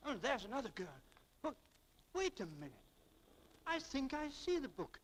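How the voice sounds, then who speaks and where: An actor playing a kindly, hesitant, stammering coworker and loyal friend in a 1940s radio drama, on a noisy old recording. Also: male voice, extremely high pitch, very animated, moderate pace, fairly bright tone, heavily acted. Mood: hesitant, excited.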